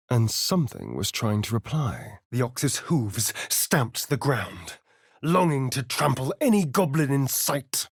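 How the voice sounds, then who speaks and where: A man's voice: no room sound, studio quality, heavily acted. A man playing a sly, sardonic vampire spawn rogue in a video game, with an arch, drawling, theatrical delivery. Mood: dramatic storytelling. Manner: arch, theatrical, drawling, with big swoops in pitch. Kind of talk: video game voice lines and narration.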